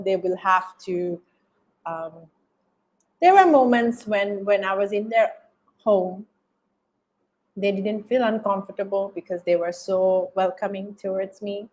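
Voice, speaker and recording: A woman from Bangladesh with a Bangladeshi accent, giving reflective interview answers as a guest, halting and often repeating phrases as she searches for words. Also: mid-range voice, darkish tone, poor audio. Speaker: interview guest, documentary filmmaker.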